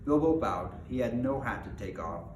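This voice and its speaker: A man's voice: medium pitch, expressive, moderate pace. A man reading a storybook aloud as its narrator. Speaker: storybook reader. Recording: echoey room with clear background noise.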